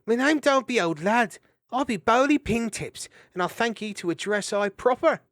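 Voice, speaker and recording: A squeaky, bristling little hedgehog boy, as a man puts him on, talking back in a thick rustic dialect. The voice jumps far above the reader's own, lively and swooping, in a storybook reading made in a dry, close space. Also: normal speed, no echo. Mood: indignant. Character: small cheeky young male hedgehog thief.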